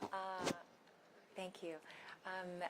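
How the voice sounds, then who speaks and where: A woman's voice: lowish voice, completely flat delivery, drawn-out words, very noisy. A woman from Korea, a guest performer at a press event in a large theater, reflective and long-winded. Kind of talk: personal storytelling at press conference.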